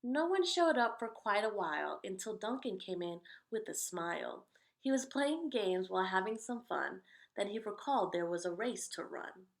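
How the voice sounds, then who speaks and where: A woman hosting a read-aloud of children's picture books, delivering rhymes with expressive emphasis and chatting casually in between. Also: mid-range voice, moderate pace, gravelly.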